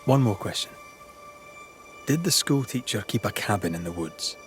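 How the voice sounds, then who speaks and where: An actor playing a shrewd, persistent investigator of hauntings in a video game cutscene, probing and wry. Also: male voice, on the low side, expressive, moderate pace, faint music behind, natural voice.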